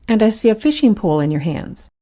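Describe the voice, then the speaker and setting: Mellow-voiced American woman interviewer. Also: medium pitch, very animated, quick pace. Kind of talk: scripted interview questions.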